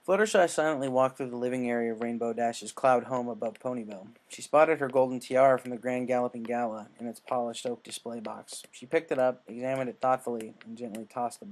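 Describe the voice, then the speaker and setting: Man narrating a story, medium pitch. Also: low-quality recording. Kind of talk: scripted fan fiction narration.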